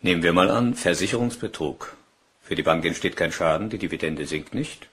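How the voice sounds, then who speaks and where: A man's voice: low voice, brisk, fairly bright tone. A man playing a commanding police superintendent in a German crime radio play, speaking in a clipped, authoritative way. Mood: businesslike. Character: commanding, brisk male police superintendent giving orders.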